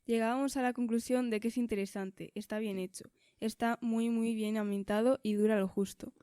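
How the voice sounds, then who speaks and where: A woman's voice: on the high side, fairly even intonation, normal speed, warm tone, clean tone, no echo, studio quality. A woman, a student interviewer delivering a prepared question.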